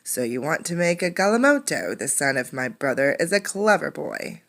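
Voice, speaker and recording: A woman's voice: sits low, lively intonation, moderate pace, fairly bright tone. A woman voicing a kindly, obliging uncle who keeps a village shop in a storybook. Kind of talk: storybook character voice.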